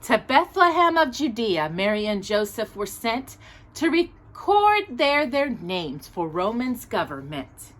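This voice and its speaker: A woman hosting a children's storytime, reading aloud expressively in a deliberate storybook manner with casual asides. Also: a little high. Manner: animated, deliberate storybook delivery.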